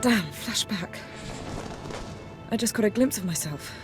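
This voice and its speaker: A woman's voice: mid-range voice, expressive, measured pace, lightly acted. A woman playing a defiant young memory hunter in a video game, intense and emotional, in terse phrases. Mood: shaken, determined. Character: defiant, driven young female memory hunter, impulsive rebel. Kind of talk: dramatic video game acting. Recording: loud music over the voice.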